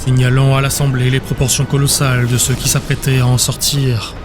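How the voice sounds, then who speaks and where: A man's voice: medium pitch, flat delivery, brisk, music in the background. A man reading scripted dramatic narration as the narrator of an audiobook.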